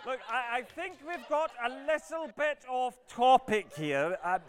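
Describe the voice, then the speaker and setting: Flustered, stammering, high-pitched TV executive. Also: male voice, very animated, a little slow, slight background noise, full character voice. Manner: stammering, repeating words, falsely reassuring. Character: flustered, nervous TV executive placating his stars. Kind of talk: comedy drama acting.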